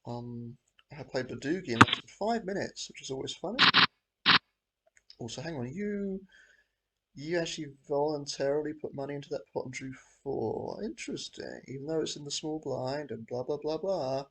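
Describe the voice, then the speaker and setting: Hoarse man with a cold, gravelly. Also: a little high, expressive, normal speed, pushed and bright, low-quality recording. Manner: rambling, half-muttered thinking aloud. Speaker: host. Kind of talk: casual commentary while playing online poker.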